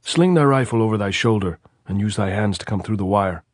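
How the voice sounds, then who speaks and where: A man doing a suspicious, deliberate post commander. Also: on the low side, expressive, no echo, studio quality. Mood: mocking.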